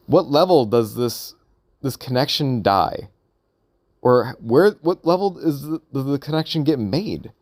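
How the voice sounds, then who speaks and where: A man's voice: mid-range voice, darkish tone, no room sound, studio quality. A man thinking aloud in a casual, unscripted vlog monologue, hesitant and rambling as he works through his thoughts. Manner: hesitant, halting, rambling with repeated questions. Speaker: vlogger recording an audio log.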